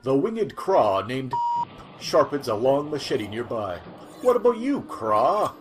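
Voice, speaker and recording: A man's voice: mid-range voice, big pitch swings, takes it slowly, noisy background. A man playing an earnest futuristic news reporter in a scripted sci-fi audio drama. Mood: earnest, curious.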